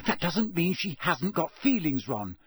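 A passionate, indignant teenage girl, as a male reader puts her on, heated and raised, with a lively voice. The sound is a little husky and dry. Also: fairly high pitch, measured pace, no echo, voice pushed up, full character voice. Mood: furious. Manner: heated, outraged.

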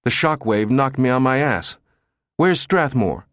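A man's voice: mid-range voice, animated, moderate pace, dry recording, clean studio sound, pitched above the performer's own voice, lightly acted. A man voicing a crude, insolent computer systems chief in an audiobook, brash and sardonic, spitting out his words. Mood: angry. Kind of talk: audiobook character dialogue.

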